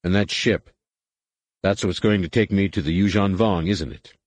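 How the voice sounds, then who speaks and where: A man plays a young space pilot in an audiobook adventure. He is steady and defiant, speaking firmly and curtly in a lowish voice. The recording is dry and close. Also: normal speed, no room sound. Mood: incredulous, angry.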